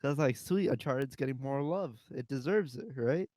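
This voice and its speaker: Male podcast co-host, mid-pitched, informal and opinionated group chat, dry sound. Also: moderate pace, mellow, dry recording. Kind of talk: casual group discussion.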